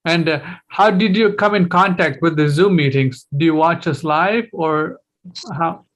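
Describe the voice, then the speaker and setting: A man's voice: fairly high pitch, unhurried, fairly bright tone. A man hosting a casual video-call discussion, chatty and full of questions and fillers. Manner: chatty, asks lots of questions, many fillers. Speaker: host.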